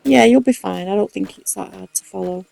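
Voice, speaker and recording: A woman's voice: medium pitch, brisk, vocal fry, a crowd in the background. A woman with a strong Mancunian accent, casually talking through a game building tutorial step by step. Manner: chatty, explaining step by step. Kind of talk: casual commentary over gameplay.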